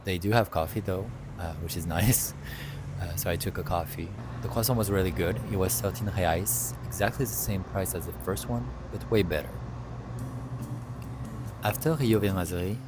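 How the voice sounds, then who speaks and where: A man's voice: deep voice, normal speed. A young man with a French accent, talking casually about food in a rambling, chatty vlog full of fillers. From France. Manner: chatty, rambling, with many fillers and self-corrections. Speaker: food vlogger. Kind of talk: casual travel vlog narration. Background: music.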